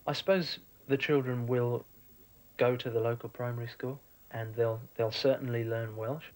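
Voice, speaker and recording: A man from England talking in a reflective way, with his voice held very level and even. His pitch sits in the middle range for a man. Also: moderate pace, poor audio. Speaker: art teacher. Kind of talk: documentary interview.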